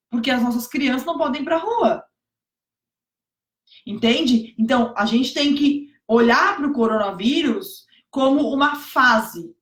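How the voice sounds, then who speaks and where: A hoarse woman teaching exam preparation on a live stream, emphatic, repeating key points and checking that viewers follow. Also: high-pitched, a bit bright. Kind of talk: motivational live stream talk. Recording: echoey room.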